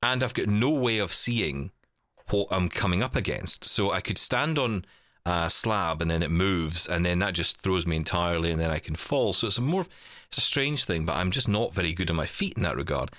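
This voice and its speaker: A man's voice: low voice, lively intonation, moderate pace, pushed and bright, no echo, clean studio sound. A man chatting casually as a podcast host, candid and a little hesitant, often repeating his words. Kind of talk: casual chat.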